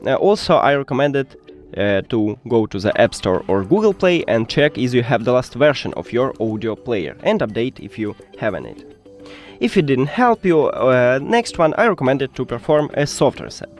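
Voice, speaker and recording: Man with lively, swooping pitch. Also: normal speed, faint music behind. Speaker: tech tutorial host. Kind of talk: step-by-step instructional narration.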